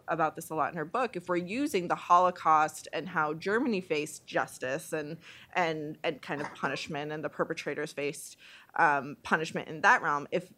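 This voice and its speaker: A woman's voice: fairly low pitch, fairly bright tone. A woman from Bosnia and Herzegovina speaking informally as a panelist, thinking aloud hesitantly with some self-deprecating humour. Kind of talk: informal spoken panel commentary.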